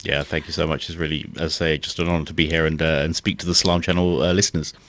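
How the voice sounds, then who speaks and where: A man, a guest on a radio interview, modest and talking quickly with many restarts. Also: very deep voice, little pitch movement, a bit bright. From England. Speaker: guest, film director. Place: radio studio.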